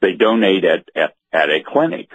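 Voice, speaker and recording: A man giving halting political commentary as a guest on talk radio, often repeating words. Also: low voice, big pitch swings, husky. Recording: muffled, narrow phone-like radio audio.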